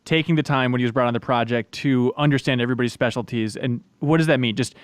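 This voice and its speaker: A man's voice: medium pitch, fast talker, clear, resonant tone, no room sound. A man working as an interviewer, asking questions in a casual, conversational style.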